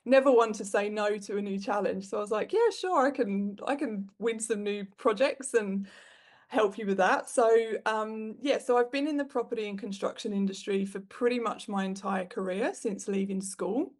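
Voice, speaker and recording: A woman's voice: medium pitch, normal speed. Chatty British woman telling her story. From United Kingdom. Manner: frank and animated, with frequent fillers. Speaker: guest. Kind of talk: candid conversational storytelling.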